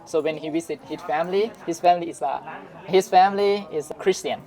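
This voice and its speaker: A young man with a Thai accent, a Buddhist monk, talking haltingly in an interview as he searches for words. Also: on the high side, dark tone, poor audio. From Shan State, Myanmar, raised in Thailand. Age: young adult. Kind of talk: casual interview conversation. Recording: busy crowd noise around. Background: crowd chatter.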